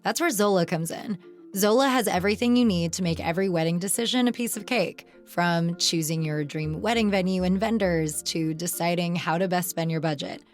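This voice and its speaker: A woman's voice: on the low side, brisk. A woman reading a scripted advertisement in a conversational style. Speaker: advertisement announcer. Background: faint music bed.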